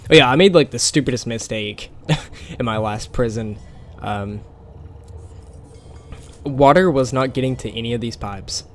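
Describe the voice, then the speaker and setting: Gravelly man with a scratchy, tired voice. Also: medium pitch. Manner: rambling, with many fillers and small laughs. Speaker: gameplay commentator. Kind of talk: casual game commentary.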